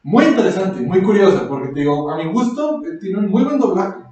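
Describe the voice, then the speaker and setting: A man's voice: sits high, sing-song, a little fast, warm tone. Rambling Mexican man in a very echoey room. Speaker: podcast host. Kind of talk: casual podcast chat. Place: echoey indoor room, voices off the mic. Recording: very echoey room, distant mic.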